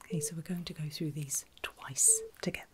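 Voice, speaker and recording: A woman speaking in a breathy whisper, gently reassuring and encouraging as she plays a clinician in an ASMR medical roleplay. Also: sits low, wildly swooping pitch, brisk, a little hiss or hum. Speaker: ASMR performer playing a surgical clinician.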